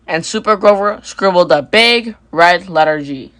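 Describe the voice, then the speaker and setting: A woman's voice: on the low side, animated, measured pace, a bit bright, no room sound. A woman reading a children's story aloud as scripted narration. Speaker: storybook reader.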